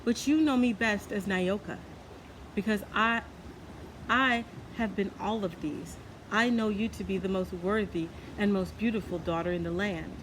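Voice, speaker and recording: A benevolent shape-shifting king from a storybook, read by a woman, speaks in a stately, loving way. The manner is gracious. Clear background noise runs underneath. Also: mid-range voice, normal speed, lightly acted, rough recording. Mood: calm, loving. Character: benevolent shape-shifting male king, once a garden snake. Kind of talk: storybook character voice.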